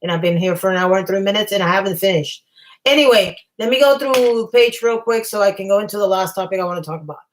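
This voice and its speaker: A woman hosting a casual livestream while losing her voice, rambling and often clearing her throat. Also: medium pitch, quick pace. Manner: chatty, reads viewer comments aloud. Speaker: livestream host.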